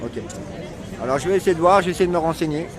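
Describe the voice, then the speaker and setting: A man's voice: a little high, rapid-fire. A man reporting from a street protest, chatting casually and supportively, hesitant and full of restarts. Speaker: livestream reporter. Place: outdoor street protest in a city. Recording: noisy protest crowd.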